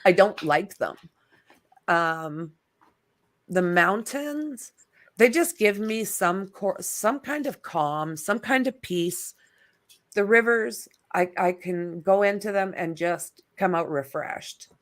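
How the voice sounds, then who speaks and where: A woman hosting a casual livestream chat, talking in a relaxed, rambling way with lots of fillers. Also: mid-range voice, takes it slowly. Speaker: livestream host.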